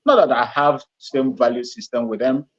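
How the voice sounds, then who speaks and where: Man, halting speech, restarts, laughs between phrases, middle pitch, dull lo-fi call audio. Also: fairly even intonation. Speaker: guest on a live stream. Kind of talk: casual live call-in talk. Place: Ghana.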